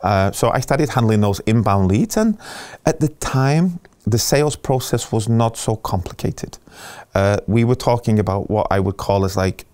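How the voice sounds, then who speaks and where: Lively man in his middle years. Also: on the low side, normal speed, clean tone. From England. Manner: animated storytelling, acts out quoted dialogue, frequent restarts. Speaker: guest. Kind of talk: casual interview conversation.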